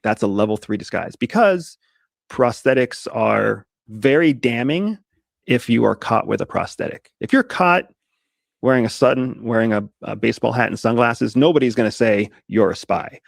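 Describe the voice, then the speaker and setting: Mid-pitched man with a very gravelly voice, methodical explainer, dry close recording. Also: expressive, normal speed, no echo. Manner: methodical, lays points out step by step. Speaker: guest, disguise expert. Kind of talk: casual podcast interview.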